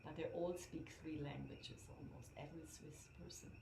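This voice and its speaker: A woman's voice: deep voice, fairly even intonation, normal speed, creaky, in a whisper. A middle-aged woman with a German accent, a guest chatting casually and searching for words as she goes. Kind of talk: casual conversation. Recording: echoey room with background noise.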